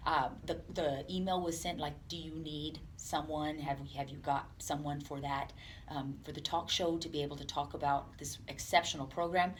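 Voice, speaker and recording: A woman with a distinctly low voice speaks off the cuff in an interview. She is earnest and halting in her phrasing, and light room noise sits behind her. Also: steady tone. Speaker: police department representative, interview guest. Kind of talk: unscripted interview talk. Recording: clear background room noise.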